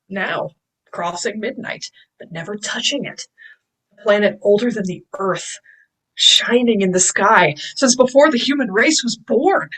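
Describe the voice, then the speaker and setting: A woman's voice: medium pitch, fairly bright tone, poor audio. A woman playing an enthusiastic, pompous male professor who lectures emphatically in a sci-fi table read.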